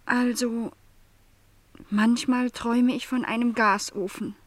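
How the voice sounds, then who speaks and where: An actress playing a lonely twenty-year-old housemaid in a radio play, wistful and stubborn, speaking earnestly and insistently. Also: female voice, a little high, fairly even intonation, slow, no room sound. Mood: hesitant, yearning. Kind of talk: literary radio play dialogue.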